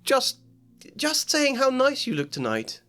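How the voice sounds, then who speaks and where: A man's voice: very high voice, wildly swooping pitch, pushed and bright, pitched above the performer's own voice, exaggerated voice. A man voicing an anxious, bossy schoolgirl in a storybook reading. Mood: falsely bright. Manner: rushed, fussy and anxious. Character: a high, frantic, bossy schoolgirl.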